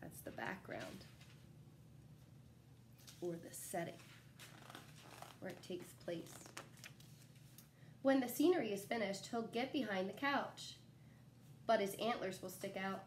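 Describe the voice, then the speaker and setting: A young adult woman reading a children's picture book aloud like a teacher, in an animated, expressive way with asides to young listeners. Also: medium pitch, noisy background. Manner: animated, pausing to ask young listeners questions. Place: small living room at home, indoors. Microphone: phone camera a short distance away.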